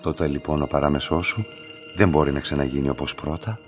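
An actor playing a calm, enigmatic middle-aged laboratory master in a radio drama, measured and quietly insistent, with a husky voice. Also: male voice, faint music behind. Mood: probing, insistent. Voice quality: deep, husky. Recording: long hall-like reverberation.

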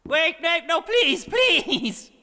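High bright voice, cowardly fussy nobleman played by a man, sputtering, pleading, fantasy audio drama, long hall reverb. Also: very animated, drawn-out words, exaggerated voice. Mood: terrified, pleading. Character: cowardly, whining, panicked deposed nobleman.

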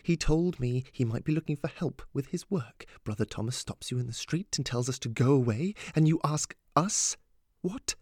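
A man voicing an anxious, loving mother in a story, talking in a fretful, halting way. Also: mid-range voice, darkish tone, dry recording, lightly acted. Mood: exasperated, worried. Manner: fretful, halting, repeating words. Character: anxious, exasperated, loving mother of a teenage daughter. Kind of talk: audiobook character dialogue.

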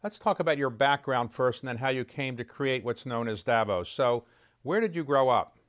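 A man interviewing a guest, asking direct, measured questions. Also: mid-range voice.

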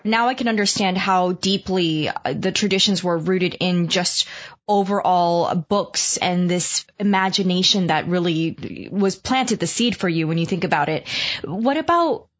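Female host, relaxed and chatty, curious questions, dull lo-fi audio. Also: fairly low pitch, measured pace. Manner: chatty, uses "like" often. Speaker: interviewer. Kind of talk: casual conversational interview. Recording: muffled lo-fi recording.

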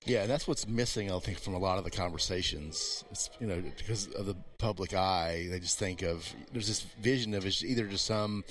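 Low-voiced agreeable co-host. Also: male voice, a little fast, few pauses, fairly bright tone. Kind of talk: casual interview chat.

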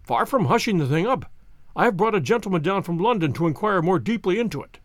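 A man's voice: high voice, lively intonation, fast talker, no echo, studio quality. A man voicing a stern, impatient older banker in an audiobook, formal and emphatic in his indignation. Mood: stern, indignant.

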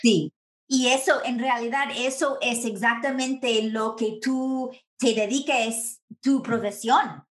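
Woman, higher voice, learner Spanish, Mexican-leaning accent, friendly. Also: slow. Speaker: interviewer. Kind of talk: conversational interview.

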